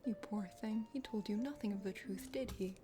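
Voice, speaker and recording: A woman voicing a chatty, condescending dragon sister from a forest kingdom in a video game, speaking formally in a soft ASMR whisper. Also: mid-range voice, fairly quick, voice pushed down, natural voice. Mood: amused, condescending. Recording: music behind the voice.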